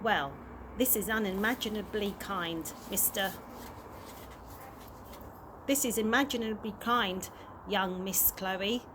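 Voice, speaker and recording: A woman doing a courteous, grand old gentleman tramp. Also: medium pitch, slow, pauses often, lightly acted. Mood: grateful. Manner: polite, formal, old-fashioned speech. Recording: noisy amateur home recording.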